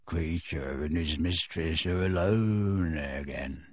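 A wheezy, croaking old house elf, gleeful and sly, drawing out each word. His voice sinks very deep and goes husky and strange. It sounds close and dry, with no room around it. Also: slow, no echo, heavily acted.

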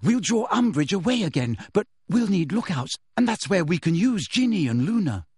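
A man's voice: high voice, big pitch swings, moderate pace, darkish tone, slightly rough, no echo, voice pushed up, heavily acted. A man voicing an earnest, bossy teenage witch girl in an audiobook, with an urgent, anxious manner. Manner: urgent, pleading, fierce. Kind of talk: audiobook character voice.

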